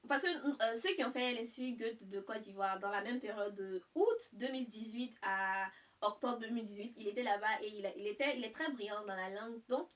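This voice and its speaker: A young woman hosting a casual interview, asking probing follow-up questions whose pitch climbs as she presses. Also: a little fast, far from the mic. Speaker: host.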